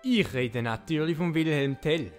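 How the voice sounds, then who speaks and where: A woman's voice: low voice, unhurried, darkish tone, soft background music. A woman with a Swiss German accent narrating a comic audio drama like a storybook.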